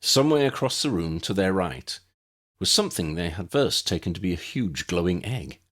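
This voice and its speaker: A man's voice: low voice, very animated, moderate pace, fairly bright tone. A man reading scripted story narration as an audiobook narrator.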